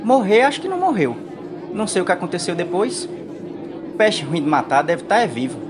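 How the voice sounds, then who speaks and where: Woman acting a sassy trickster, rapid lively speech, radio drama, noisy crowd behind. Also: fairly low pitch. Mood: wry. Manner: wry, sassy. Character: cheeky, quick-witted poor trickster, defiant and wry. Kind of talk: radio soap opera acting.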